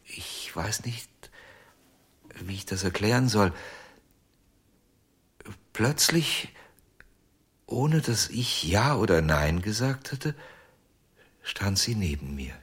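A husky, haunted ventriloquist. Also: male voice, lowish voice, big pitch swings, full character voice. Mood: confessional. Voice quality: husky, hesitant with many pauses. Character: haunted, obsessive male ventriloquist, middle-aged. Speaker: ventriloquist under questioning. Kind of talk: German radio drama.

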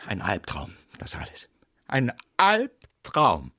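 A man's voice: medium pitch, huge pitch swings, slow pace, bright, forceful tone, husky, heavily acted. A man playing a frazzled, self-pitying cookie inventor, jittery and melodramatic, in a children's audio drama. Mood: despairing. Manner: melodramatic, jittery, repeats himself plaintively.

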